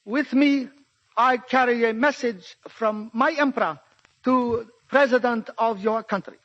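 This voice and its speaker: An actor playing a ceremonious Japanese diplomat, speaking formally and solemnly in a 1940s radio drama. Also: male voice, very high-pitched, a little slow, a bit bright, heavily acted. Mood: solemn, courtly. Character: smooth, ceremonious Japanese diplomat envoy proclaiming peace. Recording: muffled 1940s radio broadcast recording.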